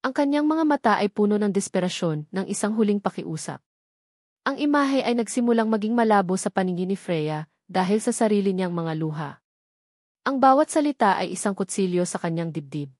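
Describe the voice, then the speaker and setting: A woman reads scripted fiction in a dramatic, measured storytelling manner, in short weighted phrases. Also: medium pitch, expressive, darkish tone, no echo, studio quality. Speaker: story narrator.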